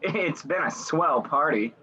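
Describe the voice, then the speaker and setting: An actor playing a genial, slightly hesitant gentleman guest in a radio play, with a dull sound and music behind. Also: fairly high pitch, expressive, takes it slowly, heavily acted.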